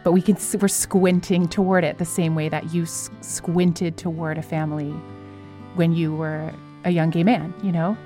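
A woman's voice: lowish voice, level tone, moderate pace, mellow, faint music behind. A woman hosting a podcast, talking in a reflective style. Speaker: podcast host.

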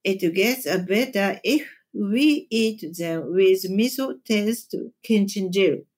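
A woman with a Japanese accent, a temple chef answering interview questions in slow, careful English. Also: mid-range voice, expressive, darkish tone. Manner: slow, deliberate, measured phrasing. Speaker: temple chef and cooking teacher.

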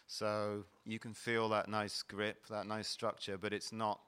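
A man's voice: fairly low pitch, monotone, measured pace, fairly bright tone, clear, resonant tone. A middle-aged man hosting a wine panel, explaining the wines in a descriptive, explanatory way. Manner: explanatory, descriptive tasting talk. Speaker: panel host. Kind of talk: wine tasting presentation. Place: event hall at a wine fair.

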